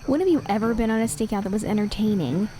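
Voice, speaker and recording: A woman's voice: mid-range voice, expressive, fast, dark tone, slight background noise, lightly acted, rough recording. A woman playing a no-nonsense detective in an audio drama, dry and exasperated. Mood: dry, annoyed.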